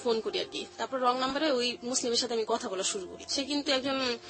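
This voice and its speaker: High-voiced Bangladeshi woman telling her account. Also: fast. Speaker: guest. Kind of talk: personal account in an interview. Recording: music playing behind the voice. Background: background music.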